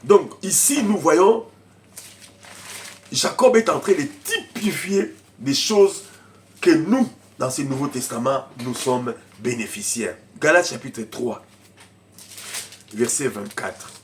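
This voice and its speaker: A man preaching a sermon as pastor, who has a cold and coughs. He moves between shouted, fervent lines and quiet, mumbled asides. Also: medium pitch, animated, slow pace, long pauses, slight background noise. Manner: speaks in tongues in bursts.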